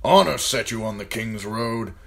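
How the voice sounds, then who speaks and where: A man voicing a gruff older military commander in an audiobook, talking bluntly and firing off needling questions. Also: lowish voice, expressive, moderate pace, pitched above the performer's own voice. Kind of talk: audiobook character voice.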